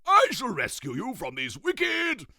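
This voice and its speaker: Storybook weasel king: bombastic, daft, very high-pitched, loudly proclaiming, wildly swooping. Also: wildly swooping pitch, a little slow, creaky, no room sound, studio quality, exaggerated voice. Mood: heroic bluster. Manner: grandly proclaiming, loud. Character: pompous, bombastic toothbrush-wielding weasel king, excitable and daft.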